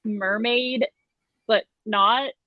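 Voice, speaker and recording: A woman's voice: a little high, fairly even intonation, very slow, no room sound, dull audio. A woman co-hosting a casual book discussion, with slangy asides and dramatic quoting. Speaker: co-host of a book discussion livestream. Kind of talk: casual chat.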